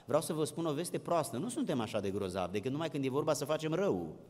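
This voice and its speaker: A man's voice: a little high, big pitch swings, a little mellow. Male preacher in a reverberant hall. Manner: plain-spoken, didactic, addresses listeners directly. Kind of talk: sermon. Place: large indoor hall with long reverb.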